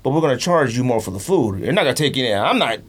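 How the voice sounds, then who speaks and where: A man in casual podcast chat, talking in a slangy, animated way and acting out imagined quotes. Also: mid-range voice, quick pace. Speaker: podcast host.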